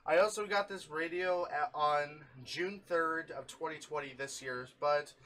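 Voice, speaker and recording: Male host, fairly high voice, informal chatty hobby presenter, faintly noisy recording. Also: measured pace, sharp tone. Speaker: video host showing a radio collection. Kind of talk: casual hobby showcase with friends.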